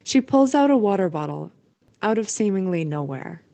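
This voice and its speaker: Dry close recording, woman, soft dark timbre, storybook-style narrator, scripted audio drama. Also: mid-range voice, expressive, normal speed, creaky, no echo.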